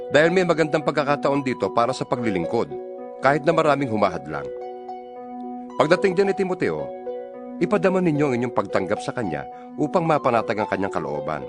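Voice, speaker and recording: A man's voice: medium pitch, lightly acted. A man with a Filipino accent playing an apostle exhorting fellow believers in an emphatic, oratorical dramatized Bible reading. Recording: music bed behind the voice.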